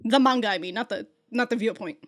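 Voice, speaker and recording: Lady doing an anime reaction vid, rambly and sweary, restarts her sentences a lot, kinda high pitched. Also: fast, a bit bright. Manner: chatty, swears freely, laughs often; thinks aloud with frequent restarts. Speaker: anime reaction channel host. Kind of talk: casual reaction and review commentary.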